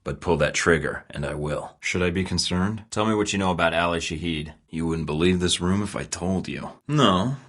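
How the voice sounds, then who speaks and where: An actor voicing a confident young American secret agent in a video game, with a wry, cocky way of delivering dry one-liners. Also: male voice, sits low, normal speed, hardly any pauses, a bit bright. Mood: firm. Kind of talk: game voice acting.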